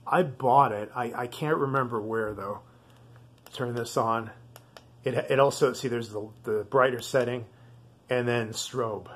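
A man giving a casual product demonstration in a halting, rambling, easygoing way. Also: medium pitch, a bit bright. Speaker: video host.

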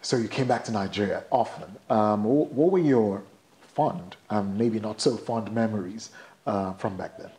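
A man's voice: fairly low pitch, moderate pace, warm tone. A middle-aged man hosting a studio interview, asking questions and giving many short, attentive replies. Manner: attentive, frequent short backchannels. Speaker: interview host.

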